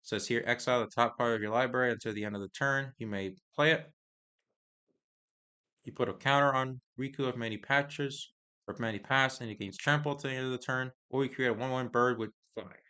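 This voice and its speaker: A man with a bright, ringing voice talks through new trading cards in a relaxed review, reading card text aloud and then giving his take. He talks haltingly, with pauses and restarts, and his voice is going a little hoarse. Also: medium pitch. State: voice getting hoarse.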